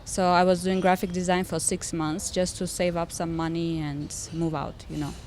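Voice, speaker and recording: A young woman with a lowish voice for a woman, speaking English with Russian as her first language. She tells her story in a friendly, easy way and laughs often. Soft room ambience sits faintly behind her. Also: level tone, moderate pace, a little hiss or hum. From Armenia, raised in Russia. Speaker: guest, interior designer. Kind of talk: casual podcast interview.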